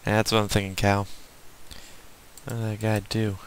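A man's voice: lowish voice, little pitch movement, moderate pace, slight background noise, rough recording. A man with a Southern US accent giving casual, unscripted game commentary, rambling and muttering to himself as he plays. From southern United States. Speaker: gaming video host.

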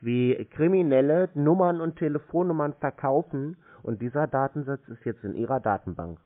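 A man's voice: on the high side, moderate pace. Terse man on a dull lo-fi call. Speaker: scam-baiter answering calls. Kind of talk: phone conversation. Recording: dull lo-fi phone call audio.

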